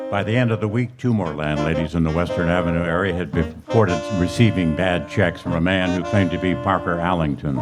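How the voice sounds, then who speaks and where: Guy playing deep voiced no nonsense cop, deadpan and clipped, old radio show with music behind. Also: lightly acted. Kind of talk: old-time radio police drama acting and narration. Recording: live stage radio play with music.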